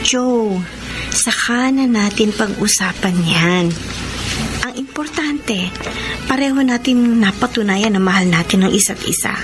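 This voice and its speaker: A woman's voice: mid-range voice, unhurried, lightly acted. An actress playing a proud, combative young girlfriend in a Filipino radio melodrama, with dramatic, emphatic delivery. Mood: coy, calm. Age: young adult. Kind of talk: Tagalog radio romance melodrama acting. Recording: music bed under the voice.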